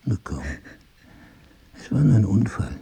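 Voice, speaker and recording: Gravelly, low-voiced brooding husband. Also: male voice, very animated, unhurried, warm tone, exaggerated voice. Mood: musing, probing. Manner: intellectual, probing, sardonic. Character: brooding amnesiac crime writer husband, cerebral, suspicious of himself. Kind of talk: naturalistic radio drama acting.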